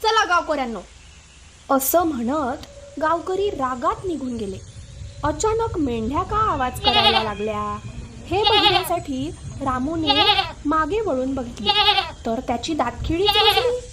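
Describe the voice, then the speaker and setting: A woman's voice: sits high, sing-song, unhurried, heavy background noise. A woman hosting and narrating a scripted children's story. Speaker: storyteller, channel host.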